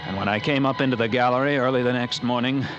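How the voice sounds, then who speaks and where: A man narrating as a haunted lighthouse keeper in a slow, hushed, dread-filled way, in 1940s radio acting style, on an old radio recording with loud music. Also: medium pitch, dull audio. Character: haunted lighthouse keeper telling his story.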